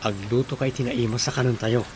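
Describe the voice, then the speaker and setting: A man's voice: medium pitch, steady tone, fairly quick, darkish tone, slight background noise, close to an everyday voice. An actor with an Ilocano accent playing an earnest young village man in a radio drama. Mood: affectionate.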